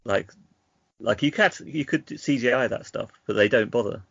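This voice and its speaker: A man's voice: medium pitch, normal speed, vocal fry, a bit bright, husky, no room sound, muffled recording. A man co-hosting a podcast, explaining his thoughts reflectively in casual discussion. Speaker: podcast co-host.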